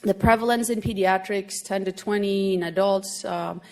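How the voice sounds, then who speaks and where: A woman from Finland with a Swedish accent, giving an academic medical lecture to a room of doctors in an explanatory, gently humorous way with frequent hesitations. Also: mid-range voice, steady tone, normal speed, vocal fry. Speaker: grand rounds lecturer, pediatric gastroenterologist.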